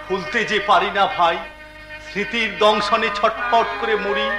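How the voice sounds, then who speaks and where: An actor playing a bitter, half-crazed betrayed husband, declaiming in anguish in a folk theatre play. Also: male voice, high-pitched, fairly even intonation, unhurried. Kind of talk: Bengali jatra folk theatre acting, declamatory. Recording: echoey stage recording with music.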